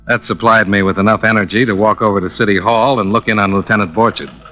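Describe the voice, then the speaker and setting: A cool American insurance investigator from a fifties radio drama. His voice is fairly low and forceful, with a dry, matter-of-fact clip. The vintage recording is muffled. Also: fairly even intonation, fast talker, faint music behind. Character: cool, businesslike male insurance investigator narrating his case. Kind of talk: 1950s radio detective drama acting.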